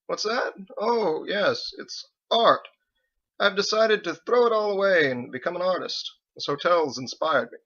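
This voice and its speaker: A man's voice: sits high, measured pace, a bit bright, lo-fi sound, voice pushed up, heavily acted. A man voicing a secretive older husband in an audiobook, outwardly fond, teasing and coaxing. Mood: breezy, evasive. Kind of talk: audiobook character voice.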